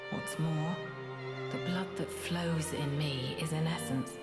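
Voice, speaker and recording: A somewhat low female voice, playing a proud, embittered loyal retainer in a dramatic game scene. Her delivery is slow, guarded and formal, with loud orchestral strings playing behind her. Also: fairly even intonation. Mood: grave, wistful. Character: bitter, proud young woman, loyal imperial retainer. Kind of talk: dramatic video game acting. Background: loud orchestral strings music.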